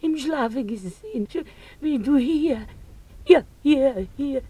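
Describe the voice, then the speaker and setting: A high-pitched woman's voice lamenting slowly in broken bursts. She is a grief-stricken animal keeper in a radio play, crying and repeating her words as she wails. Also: lively intonation, mellow. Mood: tender, broken lament. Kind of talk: mid-century German literary radio drama acting.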